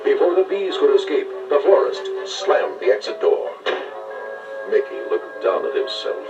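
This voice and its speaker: A man reading scripted children's read-along narration with expressive storytelling delivery. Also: mid-range voice, moderate pace, distant mic, dull audio, music in the background.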